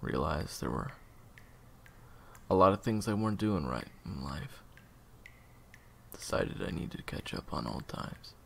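An evasive younger brother turned traitor in an audio drama, acted by a man. His low voice is gravelly and swings widely in pitch, though his answers come terse and halting. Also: big pitch swings, slight background noise, exaggerated voice. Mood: resigned. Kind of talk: audio roleplay drama acting.

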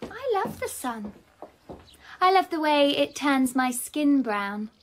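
An actress playing a jealous, petulant young wife in a radio drama. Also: female voice, high-pitched, very animated, slow, a little hiss or hum, exaggerated voice. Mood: jealous, catty. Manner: petulant, cutting. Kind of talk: British radio drama acting.

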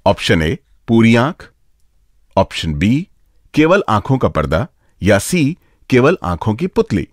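A man's voice: medium pitch, very animated, takes it slowly, no echo, studio quality. A man hosting a radio show, presenting scripted material in a playful, engaging way. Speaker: radio host.

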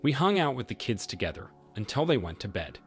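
A man reading reader-submitted stories aloud in a steady scripted narration, then giving his own reaction. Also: medium pitch, fairly quick. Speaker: story narrator. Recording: voice-over with soft music bed.

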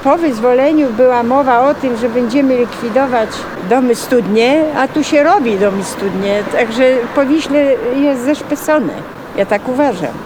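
A woman's voice: high voice, normal speed. An older woman giving blunt, critical opinions in an interview outdoors on a city street. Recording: clear street and car noise.

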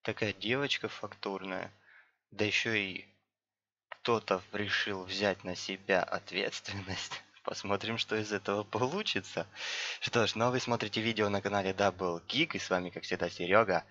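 A hoarse young man casually commenting while playing a video game, slangy and mumbling at times. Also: fairly low pitch, steady tone, normal speed, bright, forceful tone, lo-fi sound. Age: young adult. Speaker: gameplay commentator. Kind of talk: casual let's-play commentary.

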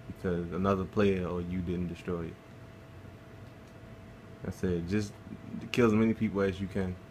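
A man's voice: low-pitched, level tone, normal speed, darkish tone, slight background noise. A man casually talking through a game walkthrough, laid-back and swearing freely as he plays. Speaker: gameplay guide narrator.

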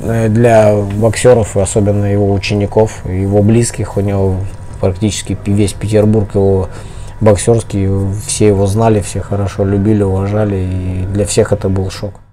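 A man in his forties answering in an interview, halting and breaking off his phrases. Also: lowish voice, flat delivery, dark tone, a little hiss or hum. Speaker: boxer interviewed about his late coach. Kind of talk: unscripted interview.

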